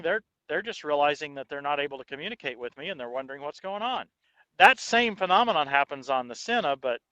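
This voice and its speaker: A man hosts a casual product review in a bright, forceful voice at a middle pitch. He explains things step by step, haltingly, repeating words and checking in with short questions. The sound comes dry through an intercom headset microphone. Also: no echo, highs cut off.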